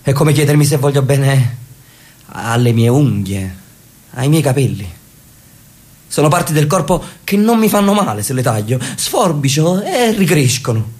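An actor playing an elderly brother in a radio drama, a detached writer who speaks dryly and reflectively. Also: male voice, mid-range voice, lightly acted. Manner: dry, reflective, argues calmly.

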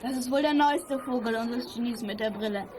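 An actress playing a spirited, cheeky young schoolchild in a youth audio drama. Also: female voice, fairly high pitch, fairly quick, crowd noise, close to an everyday voice.

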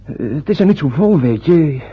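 A young actor playing a grown son in a radio play, hesitant and evasive, speaking haltingly. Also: male voice, a little high, animated, breathy edge. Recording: dull lo-fi old radio recording.

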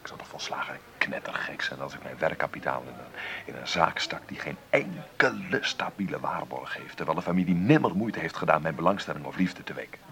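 An actor in a radio play as a wealthy, bitter middle-aged businessman, gravelly, sardonic and condescending in a deliberate way. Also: rumbling low, animated, sharp tone, heavily acted. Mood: bitter, scornful. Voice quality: gravelly, creaky.

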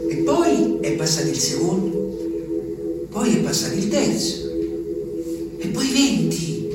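A man's voice: sits high, big pitch swings, slow pace, loud music behind the voice, exaggerated voice. An actor playing an ageing, lonely peasant man, rambling and repeating himself in a theatrical monologue. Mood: agitated wonder. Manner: rambling, repetitive, swings from hushed to exclaiming. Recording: echoey, distant stage recording, faint noise.

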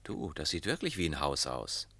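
A man's voice: very deep voice, very animated, normal speed, bright, forceful tone, no echo, heavily acted. An actor playing a wary, adventurous male sea traveller in a German science fiction radio drama, speaking casually and matter-of-factly. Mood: casual, skeptical.

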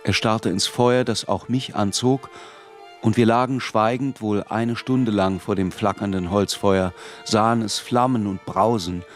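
A man's voice: lowish voice, steady tone, measured pace, soft background music, natural voice. An actor playing a reflective narrator who recalls his youth in scripted literary narration for a radio drama. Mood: reflective, descriptive.

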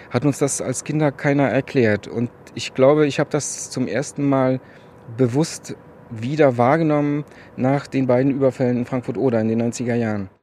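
A man's voice: medium pitch, dark tone, a little hiss or hum. A man, speaking earnestly and with measure in a radio interview. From Poland. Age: 40s.

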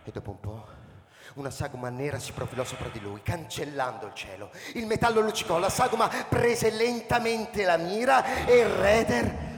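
High-voiced male narrator in an echoey hall. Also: animated, normal speed. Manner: theatrical, suspenseful phrasing. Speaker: narrator of a radio drama. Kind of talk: dramatic literary narration. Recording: echoey hall, crowd noise behind.

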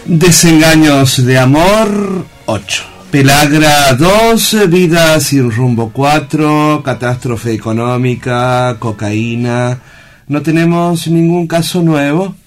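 An actor playing a calm, philosophical sanatorium doctor in a theatrical radio drama, speaking in a measured, clinical and pompous way. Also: male voice, a little high, soft background music.

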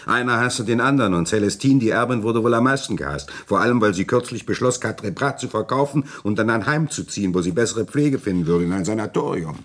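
A man's voice: on the low side, animated, quick pace, few pauses. An actor playing a courteous, talkative village notary in a German radio play, polite and formal in his lawyerly wording. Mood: confiding, explanatory.

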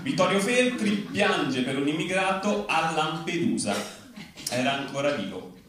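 A man plays newsreader for a satirical bulletin in a very reverberant space, heard from some distance. His voice sits a little high, and he delivers headlines with emphatic anchor-style phrasing, dropping at the punchlines. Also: very animated, measured pace, fairly bright tone. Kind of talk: mock TV news bulletin read live. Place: large echoey venue at a live reading event. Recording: very echoey hall, distant, faint noise.

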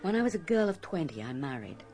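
A low-pitched, haunted woman of middle age in a radio drama. She confides a frightening past deliberately, with dramatic pauses and an undercurrent of fear. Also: sing-song, warm tone, faint music behind. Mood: grave, confiding.

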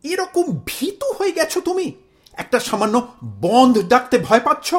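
A man acts out a pompous Bengali husband from a comic play, blustering and self-dramatizing. His voice sits high for a man and swings widely, declaiming like a speechmaker. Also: sing-song, measured pace, soft background music, full character voice. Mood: indignant. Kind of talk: comic stage-play acting.

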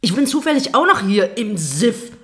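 A woman's voice: on the high side, big pitch swings, moderate pace, fairly bright tone. An actress playing a blunt, weary young working woman in a radio drama, colloquial and no-nonsense. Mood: fed up. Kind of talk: German radio drama acting.

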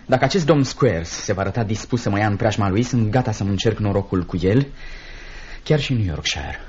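Earnest, proud young son. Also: male voice, fairly low pitch, expressive, a little mellow, slightly rough. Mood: resigned, earnest. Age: young adult. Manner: earnest, indignant pride. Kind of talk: Romanian radio theatre acting. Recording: dull, noisy old recording with clicks.

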